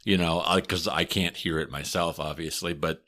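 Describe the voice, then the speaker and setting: Low-voiced Wisconsin man, hesitant. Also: fairly even intonation, moderate pace, pushed and bright. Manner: thoughtful, hesitant, with ums and restarts. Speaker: podcast host and historian. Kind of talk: casual chat.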